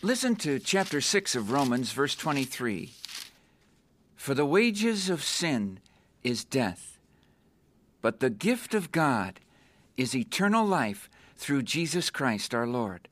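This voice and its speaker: A man's voice: medium pitch, big pitch swings, slow, fairly bright tone. A male actor playing an earnest, newly saved young man in a radio drama, solemnly and fervently reciting scripture. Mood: solemn, declaring.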